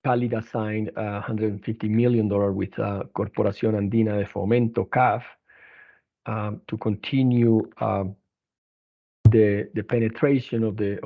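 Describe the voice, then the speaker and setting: A man presenting company financial results as an executive on an earnings conference call, speaking haltingly with frequent restarts. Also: fairly low pitch, little pitch movement. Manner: halting, hesitant delivery with repeated restarts. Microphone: telephone conference line. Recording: narrow, tinny phone-line conference call.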